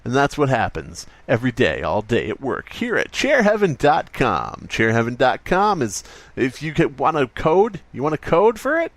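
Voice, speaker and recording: Guy doing a over-eager salesman for a fake radio ad, rambly, repeats himself, pitch jumps around. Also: wildly swooping pitch, moderate pace, no echo. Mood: fervent, manic. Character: folksy, obsessed chair salesman in a rambling spoof ad. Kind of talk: spoof radio commercial.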